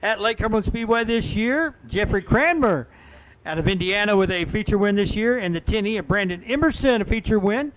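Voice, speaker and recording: A man's voice: sits high, lively intonation, a little fast, fairly bright tone, low-quality recording. A man working as a dirt track race announcer, calling out drivers, car numbers and lap times in live commentary. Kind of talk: live motorsport commentary over a PA, reading names and times.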